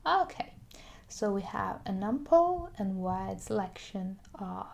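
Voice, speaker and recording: A woman doing a soft-spoken ASMR roleplay as a video game shop clerk, slipping into whispers, with a hesitant, halting delivery. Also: medium pitch, very animated, vocal fry.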